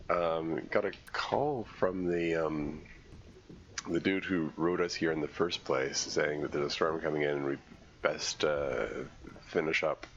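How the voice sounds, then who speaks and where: A man streaming a game, congested from a cold, commenting live and thinking aloud in a halting way as he works through puzzles. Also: extremely low pitch, steady tone, lo-fi sound, slight background noise.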